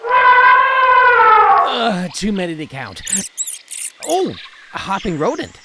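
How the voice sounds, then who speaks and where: Woman playing an excitable, swoopy-voiced alien tourist. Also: very high-pitched, extremely slow, heavy background noise, exaggerated voice. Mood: bored, listing. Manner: flippant, impatient, theatrical. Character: excitable, cocky, easily bored shapeshifting alien tourist reporter. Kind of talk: comedic audio drama acting.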